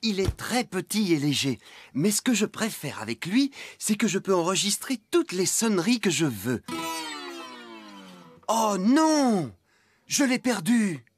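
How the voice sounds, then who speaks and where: A man voicing an earnest, easily flustered young farmhand in a children's cartoon, expressive and full of exclamations. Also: sits high, unhurried, over music. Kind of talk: children's cartoon dub acting.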